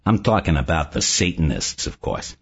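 Male narrator as an eager, confident investigator in an audiobook, very deep and animated, emphatic delivery, lo-fi sound. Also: normal speed, gravelly, breathy edge, full character voice. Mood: emphatic, triumphant. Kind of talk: audiobook character dialogue. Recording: dull lo-fi recording.

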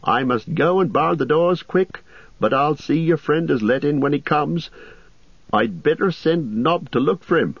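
A man voicing a fussy, excitable middle-aged innkeeper who frets loudly in a raised voice. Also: creaky, husky, no echo, muffled recording, heavily acted. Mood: flustered. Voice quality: excitable, high and loud, quick to fret.

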